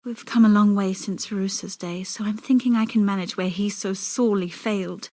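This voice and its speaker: An actress voices a lovelorn young woman from a dark fantasy game. Her voice sits at a medium pitch and turns hushed and halting as she speaks with earnest, obsessive devotion. Grief and pleading run through her dramatic delivery. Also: steady tone, natural voice. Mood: remorseful, subdued. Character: grieving, obsessively devoted young woman seeking resurrection of lover.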